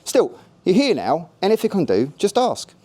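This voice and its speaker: A cheeky, laid-back Cockney fellow who nudges souls along as if it were a routine shift job, as a male narrator puts him on. His accent has a touch of West Country, and he talks in a chirpy, matter-of-fact way, pitched up and a bit louder than the narrator. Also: wildly swooping pitch, normal speed, exaggerated voice. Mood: breezy. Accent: Cockney with a hint of West Country.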